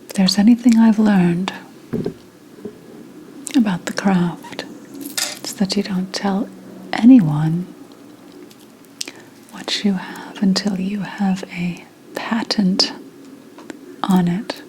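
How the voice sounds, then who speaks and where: Woman playing a slow, whispering witch. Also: medium pitch, slight background noise, lightly acted, poor audio. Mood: sly, confiding. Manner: hushed, deliberate, unhurried, mostly whispering. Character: shrewd, self-reliant female 17th-century witch, kindly advising. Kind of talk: whispered ASMR roleplay.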